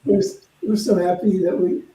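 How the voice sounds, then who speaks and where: Male reverend, high-pitched, informal service delivery, roomy distant sound. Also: moderate pace. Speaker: reverend leading a spiritual center service. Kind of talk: informal spiritual service talk and affirmation. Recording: roomy, noisy, distant microphone pickup.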